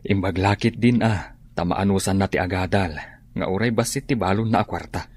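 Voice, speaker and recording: A man's voice: deep voice, normal speed, darkish tone, no room sound. A man playing a hardworking, stoic husband and father in a radio drama, with a northern Philippine accent. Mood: earnest, determined.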